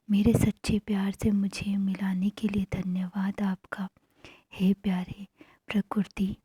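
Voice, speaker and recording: A woman narrating guided meditation affirmations in a hushed manner, pausing between short phrases. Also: mid-range voice, breathy edge, no echo.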